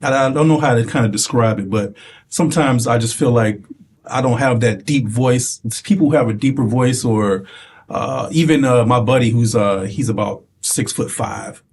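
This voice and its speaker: A man with a light, soft voice who speaks hesitantly and stops often to search for words. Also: medium pitch, normal speed, breathy edge. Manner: hesitant, many fillers. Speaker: participant.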